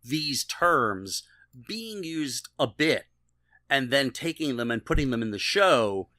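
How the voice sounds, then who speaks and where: Bright-voiced man in his fifties, close dry sound. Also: medium pitch, sing-song, takes it slowly, dry recording. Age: 50s. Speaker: podcast co-host. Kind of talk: casual panel discussion of a TV show.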